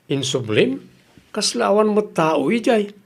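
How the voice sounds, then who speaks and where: Actor as nagging Ilocano husband, higher-pitched voice. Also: male voice, sing-song, measured pace, creaky, heavily acted. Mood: scolding. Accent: Ilocano Filipino. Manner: questioning, admonishing. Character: nagging, cautious husband in a rural household. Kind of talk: radio comedy drama acting.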